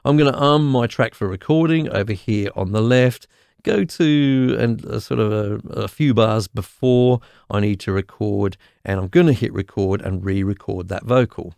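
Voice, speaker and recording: A man with a slightly croaky voice explains music software in a relaxed, chatty way, often checking in with "okay?". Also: on the low side, normal speed, no room sound, studio quality. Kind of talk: screen-recorded software tutorial.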